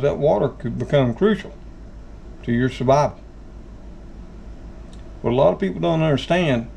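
Southern American man, middle-aged, gravelly and slightly high; folksy home-kitchen host, faint room noise. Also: mellow. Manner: folksy, addresses viewers as folks. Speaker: channel host. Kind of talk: casual how-to talk.

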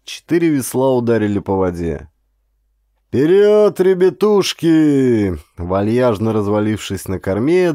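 A man narrating an adventure audiobook as a dramatic, expressive, theatrical storyteller. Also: medium pitch, dry recording, clean studio sound.

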